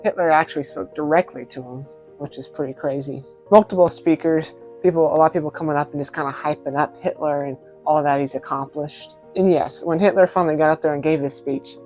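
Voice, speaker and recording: A man's voice: on the high side, a little mellow, poor audio. A man giving informal, emphatic video commentary as a host, repeating words for stress in a casual, conversational way. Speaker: video host reviewing films. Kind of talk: casual spoken vlog commentary.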